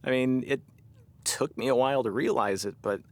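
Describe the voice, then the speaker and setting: A man playing a gentle, earnest middle-aged cat lover in a comedy audio drama, speaking tenderly and a little haltingly. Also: mid-range voice, close to an everyday voice. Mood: heartfelt.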